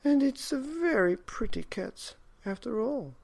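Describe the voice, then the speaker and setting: A very old storybook woman, put on by a man, speaks high above a normal male register. Her delivery lilts up and down, tender and fussing over everything. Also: expressive, measured pace, gravelly, a little mellow, heavily acted. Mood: fond.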